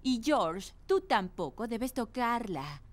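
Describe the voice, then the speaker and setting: A woman voicing a gentle but firm, busy mother pig in a children's cartoon dub. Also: on the high side, sing-song, slow. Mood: gently firm.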